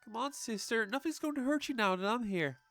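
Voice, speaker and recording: A man's voice: extremely high pitch, animated, a little fast, fairly bright tone, faint music behind, voice pushed up. A man playing a desperate young boy protecting his sister, pleading and urgent, in a horror story.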